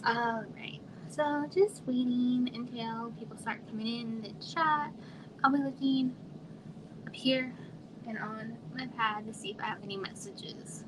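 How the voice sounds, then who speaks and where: A woman from Arizona in her thirties, chatting casually on a home livestream in a quiet, soft voice that trails off and sometimes drops to a whisper. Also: fairly high pitch, a little slow. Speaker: livestream host. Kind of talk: casual live chat with viewers. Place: home room. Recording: noisy home livestream audio.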